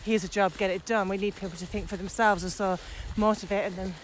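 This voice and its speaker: Woman, medium pitch, casual podcast co-host, explanatory, outdoor walk, faint background noise. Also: fairly even intonation, fast. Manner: explanatory, talking while walking. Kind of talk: casual conversational podcast discussion. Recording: noisy outdoor recording with ambient sound.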